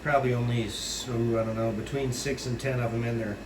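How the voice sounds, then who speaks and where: A man with a stuffed-up, runny nose talks through his home fish room in a loose, rambling way, stopping often with fillers. His voice sits at an ordinary pitch and sounds a little distant from the camera mic. The room is echoey, and a loud air-conditioner hum runs underneath. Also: steady tone. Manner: rambling, with many pauses and fillers. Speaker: fish breeder giving a tour. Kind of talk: casual walkthrough vlog.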